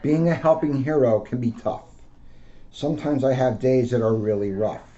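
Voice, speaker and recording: A man, a police officer, reading aloud to children in simple, deliberate phrases. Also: mid-range voice, mellow. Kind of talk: read-aloud storytelling with casual asides. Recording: dull lo-fi sound.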